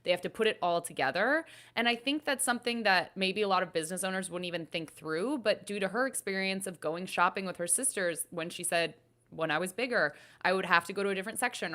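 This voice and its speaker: A woman's voice: on the low side, brisk, sharp tone. A woman panelist on an entertainment recap show, discussing things with enthusiasm in an earnest, explanatory way.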